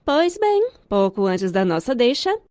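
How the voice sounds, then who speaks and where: A woman's voice: fairly high pitch, sing-song, unhurried, darkish tone, dry recording, clean studio sound. A young adult woman with a Brazilian accent giving scripted, comedic storytime narration in a theatrical, wry way. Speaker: storyteller, fan-dub voice actor.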